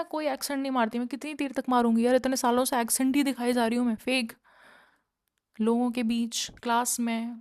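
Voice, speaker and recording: A young woman from India talking straight to her viewers in a chatty, earnest way, switching between Hindi and English. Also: a little high. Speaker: vlogger. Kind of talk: casual talking-to-camera vlog.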